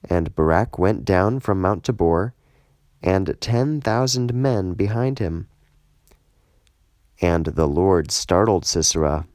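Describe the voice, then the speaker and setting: Male narrator, slow solemn Bible reading, lowish voice, measured phrasing, dry close sound. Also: a little mellow, no room sound. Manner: measured, deliberate, short phrases with pauses. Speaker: scripture reader, audiobook narrator.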